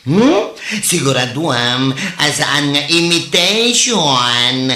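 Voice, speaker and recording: A slow, taunting show-off of a neighbor played by an actor in a comedy drama, with an Ilocano accent. The pitch swings theatrically from word to word, drawling with smug scorn in an echoey room. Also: very animated, sharp tone, heavily acted. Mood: taunting, scornful. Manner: taunting, drawn-out, theatrical delivery with questioning hums. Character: haughty, mocking neighbor showing off an expensive designer bag. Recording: roomy, echoing sound.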